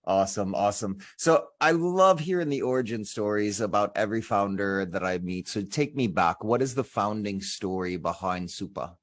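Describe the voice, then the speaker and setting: A man's voice: fairly low pitch, moderate pace, few pauses. A man hosting a podcast, upbeat and enthusiastic as he introduces the show and questions his guest. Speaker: podcast host. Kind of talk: scripted intro, then interview chat.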